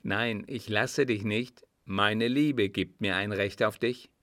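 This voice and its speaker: Guy doing a pushy lovesick frenchman for an audiobook, low voice, intense, urgent, hot tempered. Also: fairly even intonation, takes it slowly, fairly bright tone, no echo, clean studio sound. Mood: insistent, possessive. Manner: hissing, urgent, pleading. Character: passionate, possessive Frenchman wooing a woman, insistent and hot-tempered. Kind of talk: audiobook character dialogue.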